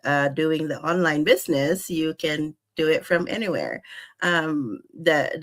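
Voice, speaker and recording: A woman's voice: sits low, fairly bright tone. A woman in her forties from the Philippines chatting as a guest, with many fillers and frequent laughs. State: unwell. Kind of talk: casual chat.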